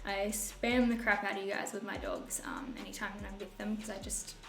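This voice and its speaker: A young woman with a husky voice talks through a makeup tutorial in a breezy, rambling way, poking fun at herself. Her voice sits at an ordinary middle pitch. The small room around her adds a roomy echo, with faint music running underneath. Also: level tone, a little fast. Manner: bubbly, rambling, self-mocking with laughs. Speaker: beauty vlogger. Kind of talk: casual chatty makeup tutorial.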